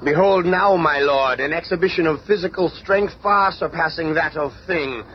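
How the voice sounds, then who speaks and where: Man as a highish, fawning alien court wizard. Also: moderate pace, close to an everyday voice. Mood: proud, ceremonious. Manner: formal, obsequious showman. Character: proud, deferential male alien court wizard. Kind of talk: superhero radio drama acting. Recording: muffled radio drama recording, faint noise.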